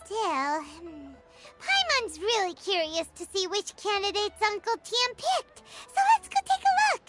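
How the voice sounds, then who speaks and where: A woman voicing a small, cheerful, childlike fairy companion in an anime game, squeaky and perky. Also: sing-song, measured pace, full character voice. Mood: musing. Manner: perky, refers to self in third person. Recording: music behind the voice.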